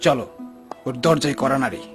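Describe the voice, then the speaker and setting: A man playing a pious, generous, patient garden partner in a cartoon, calm and gently admonishing. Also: mid-range voice, a little fast, over music, close to an everyday voice. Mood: earnest. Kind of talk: dubbed cartoon dialogue.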